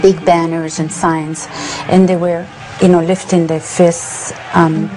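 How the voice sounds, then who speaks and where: A woman giving personal testimony in a measured, pausing manner. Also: fairly low pitch, level tone, rough recording. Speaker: interviewee. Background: music.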